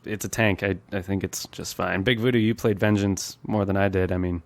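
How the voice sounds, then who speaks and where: A man's voice: low voice, steady tone, brisk, no room sound. A man hosting a casual gaming podcast, chatty and explanatory, with an easy laugh. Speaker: podcast host.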